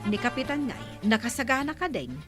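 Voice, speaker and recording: A woman with an Ilocano accent playing a gossipy, lively village neighbour in an audio drama. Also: fairly high pitch, fairly quick, loud music behind the voice. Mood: curious.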